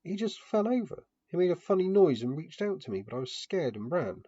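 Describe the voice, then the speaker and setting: A man's voice: high-pitched, very animated, a little fast, dark tone, husky, voice pushed up, heavily acted. A man voicing a frightened, sullen little girl in a fantasy story, with plain, hesitant childlike phrasing, in a muffled home recording. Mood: scared, bewildered.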